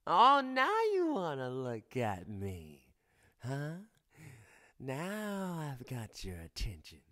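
A man's voice: medium pitch, huge pitch swings, very slow, sharp tone, heavily acted. A man playing a cocky, teasing fire-wielding boyfriend. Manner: teasing, playful, drops to close whispers. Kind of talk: ASMR boyfriend roleplay.

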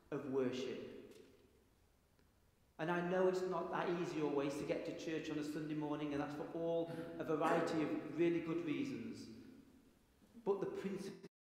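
A middle-aged man preaching a sermon in a large stone church, slow and deliberate with long pauses. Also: a little high, a little mellow. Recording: echoey church with long reverb.

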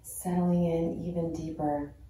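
A woman leading a guided meditation in a soothing, unhurried manner with long pauses between phrases. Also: low-pitched, flat delivery, dark tone. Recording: very echoey, reverberant sound.

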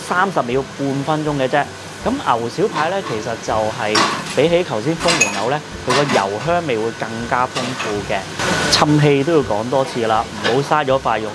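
Man, Hong Kong Cantonese accent, mid-range pitch, casual food vlog host, chatty explainer, clearly noisy background. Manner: chatty, explanatory, frequent sentence particles. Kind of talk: casual restaurant review narration.

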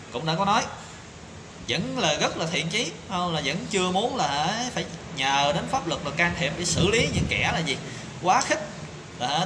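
A man in his thirties speaking an indignant opinion monologue to camera, full of rhetorical questions and fillers, filmed on a phone in a small tiled room. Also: a little high. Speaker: commentator. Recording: echoey tiled room with background noise.

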